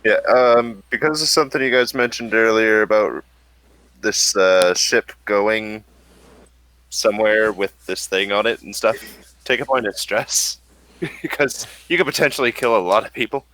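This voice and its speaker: A man's voice: lowish voice, normal speed, fairly bright tone, low-quality recording. A man running a tabletop game as game master, loose and hesitant with fillers.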